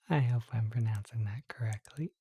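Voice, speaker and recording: An ASMR creator speaking to listeners in a very soft, breathy whisper. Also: mid-range voice.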